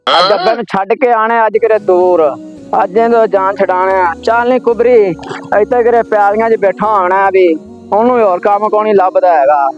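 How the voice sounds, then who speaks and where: Man playing brash village son, extremely high voice, Pakistani Punjabi accent, impatient, scheming, repetitive commands, faint music, amateur drama. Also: moderate pace, dull audio, natural voice. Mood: fed up, scheming. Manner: brash, repeats short commands. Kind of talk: amateur moral-story drama acting.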